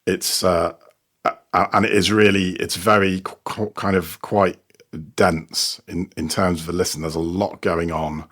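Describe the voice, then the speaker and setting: A man talking casually as an interview guest, haltingly, often repeating words as he starts a phrase. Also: low-pitched, little pitch movement, fairly bright tone. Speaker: guest, sound designer and producer.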